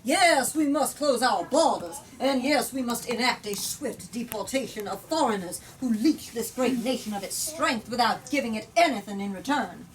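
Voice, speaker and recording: A woman's voice: a little high, lively intonation, normal speed, hardly any pauses, natural voice. A woman reading aloud the part of a pompous, alarmist male politician declaiming a formal speech from a storybook. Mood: pompous, stern. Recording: distant mic with background noise.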